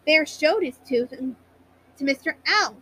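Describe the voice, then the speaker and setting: A woman storyteller narrating a children's bedtime story in a high-pitched voice. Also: animated, takes it slowly, bright, forceful tone.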